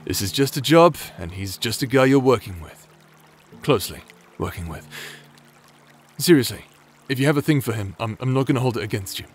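A man's voice: mid-range voice, expressive, a little fast, breathy edge. A man acting a cocky, lewd, battle-crazed swordsman in an anime-style audio drama, brash, crude and teasing.

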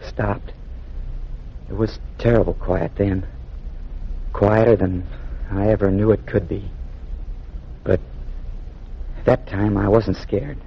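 An actor playing an earnest, humble young Union soldier with an American accent and folksy, hesitant speech in a 1940s-style radio drama. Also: male voice, on the low side, breathy edge, full character voice. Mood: haunted, hushed. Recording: muffled old 1940s radio recording.

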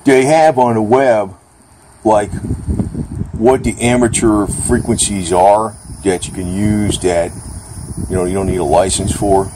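A man's voice: on the low side, fairly even intonation, unhurried. A man giving a casual, rambling hands-on review in an informal, slangy way with some mild cursing. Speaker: product reviewer. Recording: clear background noise, outdoor ambience.

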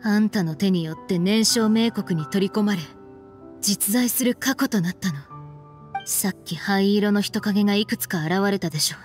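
An actress playing a stern, frank young woman in an anime game, speaking in a firm, reproachful, deliberate way. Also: mid-range voice, faint music behind, lightly acted. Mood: grave explaining. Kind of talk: anime game voice acting, dramatic dialogue.

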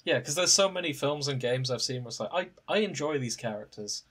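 A man's voice: mid-range voice, lively intonation, a little fast, fairly bright tone, husky. A man hosting a podcast, chatting casually with his co-hosts. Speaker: podcast host. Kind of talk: casual chat.

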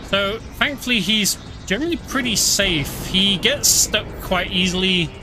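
A man streaming a game, explaining the story and fights in a relaxed, chuckling way. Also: high-pitched, a little slow. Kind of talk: casual game commentary. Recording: game music and sounds behind. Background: game music.

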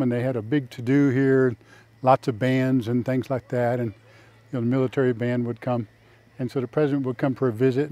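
An older man with a mellow, warm voice at a middle pitch hosts a casual video tour. He speaks in a folksy, plain-spoken way as he guides viewers on foot outdoors, recorded on a lapel mic. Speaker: vlog host and tour guide. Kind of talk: casual walking tour narration to camera. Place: outdoors in a park.

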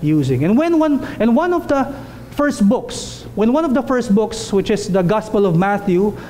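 A man's voice: high voice, sing-song, normal speed, dark tone, slight background noise. A man in his thirties preaching a sermon in a small church hall, earnest and emphatic, repeating phrases for stress.

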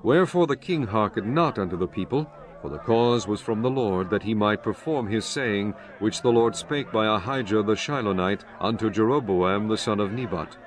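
A man's voice: on the low side, moderate pace. A man narrating a dramatized scripture reading in a solemn, formal storytelling manner. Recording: music bed behind the voice.

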